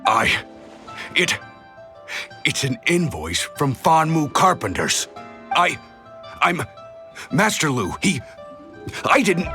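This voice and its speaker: A shifty male merchant, bright-toned and jumpy, whose pitch swings widely as he stammers out his defenses. Soft game music sits behind him. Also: sing-song, slow pace, long pauses, heavily acted. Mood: stammering. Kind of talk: video game voice acting.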